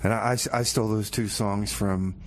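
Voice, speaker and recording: A man's voice: on the low side, steady tone, normal speed. Folksy male radio host, faint noise. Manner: folksy, rambling, hesitant repetitions. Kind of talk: casual radio show patter with comedy.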